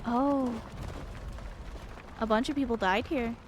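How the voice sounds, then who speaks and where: A woman's voice: fairly high pitch, fairly even intonation, takes it slowly, noisy background. A woman streamer reading a visual novel aloud and reacting expressively as she goes.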